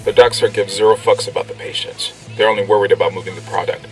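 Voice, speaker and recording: A man plays a cynical soldier from an action game. His lowish voice is flat and deadpan, quick in pace and slightly off the mic. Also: distant mic, faint music behind, natural voice, poor audio. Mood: dry. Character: dry, cynical male soldier squadmate. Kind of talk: video game acting.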